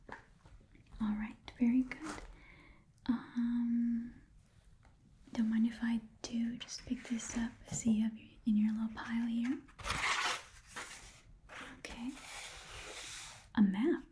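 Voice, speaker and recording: A woman's voice: fairly high pitch, level tone, slow pace, long pauses, a little hiss or hum. Whispering, muttering goblin shopkeeper, played by a woman. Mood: fussy, absorbed. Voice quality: soft, breathy whisper. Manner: muttering, repeats words to herself, fussy. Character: chatty, haggling goblin pawn shop keeper. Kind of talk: whispered ASMR shopkeeper roleplay.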